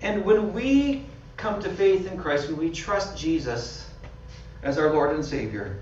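A man's voice: fairly high pitch, expressive, unhurried, a little mellow, a little hiss or hum. A man with a Canadian accent, a pastor giving a conversational sermon with slides, laughing at himself often. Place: small church hall. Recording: dull, roomy sound from far off.

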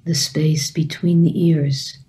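A woman meditation teacher leading a guided meditation calmly and gently, in short phrases with long pauses. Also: sits low.

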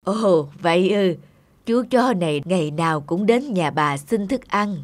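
A woman playing a kindly, compassionate elderly grandmother in an animated tale, gentle and caring. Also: fairly low pitch, a little slow, no echo. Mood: gentle, explaining. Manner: gentle, caring, musing aloud. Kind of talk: animated moral tale dubbing.

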